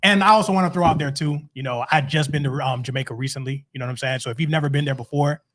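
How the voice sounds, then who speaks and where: A man's voice: fairly high pitch, racing, fairly bright tone. A man from Miami, hosting a casual live podcast chat. He talks in a laid-back way, puts questions to his guests and keeps checking in with them. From Miami, United States. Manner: laid-back, asks questions, often says "you know what I'm saying". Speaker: podcast host.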